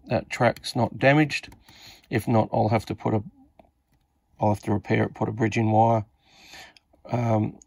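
A man's voice: fairly low pitch, creaky. An Australian man giving a casual, unscripted repair walkthrough, hesitantly thinking aloud as he works. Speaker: radio repair hobbyist presenter.

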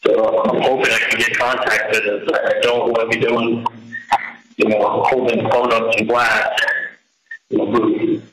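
Middle-aged man, flat voice, noisy echoey phone. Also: medium pitch, a little slow. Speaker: jail inmate on a phone call. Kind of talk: casual private phone conversation. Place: jail phone line. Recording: noisy, echoey recorded jail phone call.

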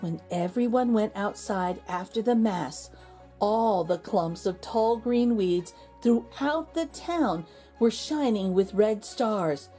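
A middle-aged woman hosting a children's read-aloud, narrating in an expressive, deliberate, teacherly way. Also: mid-range voice. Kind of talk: storybook narration. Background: music.

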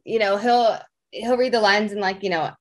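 A woman talks as a guest in a casual interview. Her pitch sits in the middle, and her phrasing is informal and loose, full of small filler words. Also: fairly even intonation, normal speed. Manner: informal, loose phrasing peppered with like and you know.

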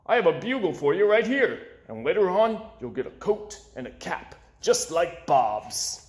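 A man voicing a bossy, excitable animal show director from a storybook, speaking in an emphatic way. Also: high voice, a little slow, roomy sound. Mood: eager.

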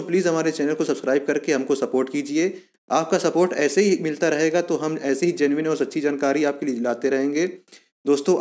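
Male explainer host, slightly high voice, informal step-by-step delivery with repeated points. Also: a little mellow. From India. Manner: repetitive, step-by-step explaining, addressing viewers as friends. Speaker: YouTube channel host explaining a police job.

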